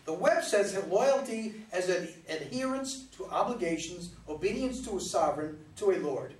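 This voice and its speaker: A man's voice: high voice, animated, moderate pace. A man playing an earnest, pedantic son trying to mediate between bickering parents in a staged play reading. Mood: earnest, pleading. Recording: echoey, noisy room, distant microphone.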